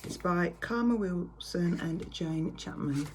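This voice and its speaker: A woman reading a picture story aloud to children with theatrical, drawn-out emphasis. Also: lowish voice. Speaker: primary school reader. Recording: clear background noise.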